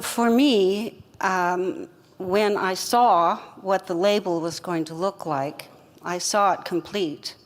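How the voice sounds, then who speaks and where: Earnest older woman in a reverberant hall. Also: mid-range voice. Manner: earnest, reflective, measured storytelling. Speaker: wine company co-founder. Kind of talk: fireside chat interview answers.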